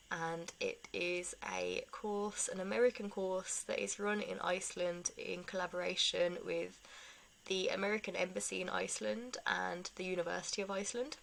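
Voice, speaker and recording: A woman's voice: medium pitch, vocal fry, a bit bright, slight background noise, low-quality recording. A young woman vlogger giving a casual life update, rambling with self-deprecating asides.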